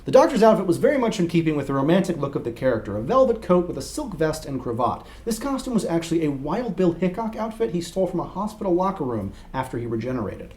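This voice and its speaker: A man's voice: on the high side, sing-song, fast talker, few pauses, a little mellow. A young adult man, co-hosting a scripted explainer to camera. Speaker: co-host. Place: small indoor room set with whiteboard.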